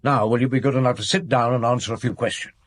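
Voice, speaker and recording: An actor playing a calm, authoritative chief inspector in a radio drama, measured, courteous and probing. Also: male voice, mid-range voice, close to an everyday voice. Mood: businesslike. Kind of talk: British radio drama acting.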